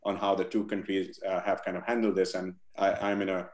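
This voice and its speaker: Guest man from India, forties, low voice, roomy echo, halting and thoughtful. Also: flat delivery, darkish tone. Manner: thoughtful, many fillers and restarts. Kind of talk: video call interview. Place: home room with virtual backdrop. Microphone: video call.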